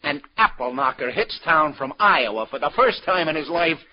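An actor playing a world-weary, sardonic police detective in 1940s radio noir style, with slangy hardboiled talk. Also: male voice, a little high, lively intonation, moderate pace, dry recording. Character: world-weary, wry New York police detective lieutenant, hardboiled narrator. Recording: muffled 1940s radio broadcast, music behind.

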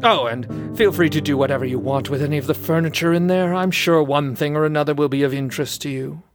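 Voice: medium pitch, fast, close to an everyday voice. An actor playing a smug, manipulative doctor in an audio drama, with condescending, mock-polite, theatrical phrasing. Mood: brisk, scheming. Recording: loud music under the voice. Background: music.

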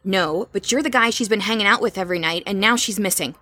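A woman's voice: medium pitch, fast, pushed and bright. A woman playing a worried, determined young roommate in an audio drama, direct and insistent. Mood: confrontational. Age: young adult.

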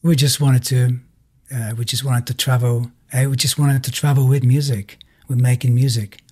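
Musician guest, male, middle-aged, Bulgarian accent. Relaxed, warm tone, medium pitch, searches for words while recounting memories. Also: breathy edge. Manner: halting, searching for words in non-native English. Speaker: musician being interviewed. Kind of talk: casual interview, personal storytelling.

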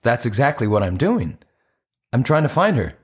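A man's voice: medium pitch, big pitch swings, normal speed, breathy edge. An actor playing an earnest, apologetic romantic man in an audio play, with a hesitant, conversational delivery. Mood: earnest, hopeful.

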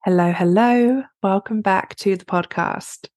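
A woman hosting her own podcast, a life coach giving a chatty, upbeat solo pep talk with a slightly husky, raspy voice. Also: medium pitch, unhurried, darkish tone, no room sound, clean studio sound.

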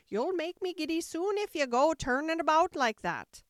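A woman's voice: sits high, animated, normal speed, no room sound, clean studio sound, pitched above the performer's own voice. A woman voicing a bossy, snappish female sheep from a storybook, curt and scolding. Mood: brisk, impatient. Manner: curt, scolding, barking commands. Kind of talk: storybook character voice.

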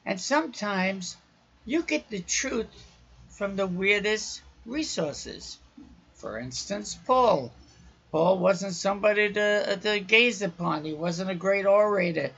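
Man, raspy and husky, loud raucous podcast preacher, muffled lo-fi recording. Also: high-pitched. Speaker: podcast host.

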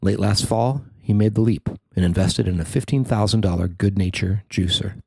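A man narrating a newspaper feature he wrote, in a measured, even reading. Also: deep voice, gravelly, husky, no room sound. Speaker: newspaper columnist reading his story. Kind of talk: scripted narration.

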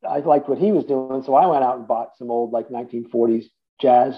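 A man's voice: mid-range voice, steady tone, a little fast, soft background music. Man, fifties, from Boston area, casual rambling storyteller, phone-like sound. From Boston area, USA. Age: 50s. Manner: rambling storytelling with many restarts and "you know". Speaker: interview guest, drummer. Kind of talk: casual interview conversation. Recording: tinny phone-like line.

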